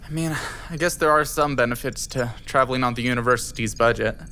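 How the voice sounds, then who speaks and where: A man's voice: mid-range voice, fast talker, bright, forceful tone, slight background noise. An actor playing an anxious, awkward young male student in an audio drama, speaking hesitantly with stammers. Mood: uneasy. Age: young adult.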